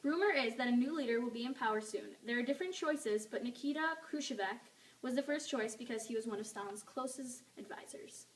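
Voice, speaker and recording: A woman's voice: fairly high pitch, a little fast. A young woman reading a scripted amateur newscast as the anchor. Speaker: newscast anchor in a history project. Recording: distant mic with faint noise.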